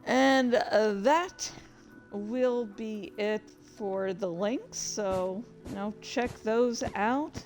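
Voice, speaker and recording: An unhurried woman livestreamer with a middle-pitched voice, talking casually over faint music. She rambles along, narrating what she does as she plays and breaking into exclamations. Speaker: livestreamer and host. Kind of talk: casual livestream chat while playing a game.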